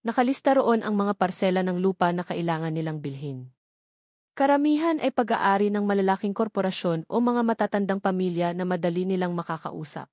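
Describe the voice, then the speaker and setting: A woman's voice: mid-range voice, expressive, fairly quick, darkish tone, no echo, clean studio sound. A woman with a Filipino accent reading a scripted love drama as a storyteller. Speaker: narrator.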